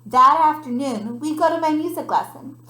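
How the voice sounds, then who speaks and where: A woman voicing an earnest young child who narrates a picture-book story with expressive emphasis. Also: high voice, moderate pace. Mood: eager. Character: earnest, dramatic young child telling a pet goldfish story. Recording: roomy, echoey sound.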